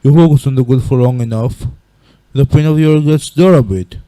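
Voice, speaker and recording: A man voicing a big, gentle goat-monster father in a comic dub, giving slow, reassuring, weary but hopeful fatherly advice. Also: medium pitch, dry recording, pitched below the performer's own voice, natural voice.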